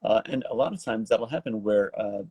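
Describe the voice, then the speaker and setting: Low, mellow-voiced man hosting an interview. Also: husky, dry recording. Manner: halting, wordy, roundabout questions. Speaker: talk show host, interviewer. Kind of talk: casual online interview.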